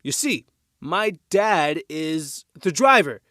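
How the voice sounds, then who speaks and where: A glib, nervous teenage boy in an audio drama, acted by a man, with a high voice that jumps around as he makes excuses. The recording is dry and close. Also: very animated, takes it slowly, sharp tone, no room sound, heavily acted.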